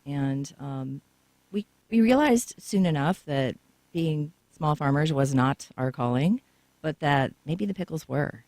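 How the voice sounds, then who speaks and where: A woman's voice: deep voice, darkish tone, dry recording. A woman co-founder answering interview questions in long, talkative, storytelling stretches, recorded in a radio studio. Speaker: co-founder guest.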